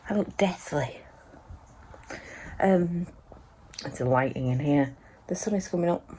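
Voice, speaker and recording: A woman vlogging from a cold sunroom at home first thing in the morning, chatting in a rambling way with plenty of ums, her voice still croaky from sleep. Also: lowish voice, measured pace, dark tone, a little hiss or hum. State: croaky, just woken up. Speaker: vlogger, mother. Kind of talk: casual morning vlog chat to camera.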